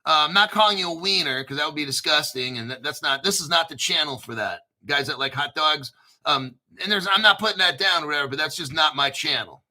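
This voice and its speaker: A man's voice: a little high, fairly quick, pushed and bright. A man hosting his own crypto channel, talking casually and conspiratorially, spelling out names letter by letter and swearing now and then. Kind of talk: casual solo commentary.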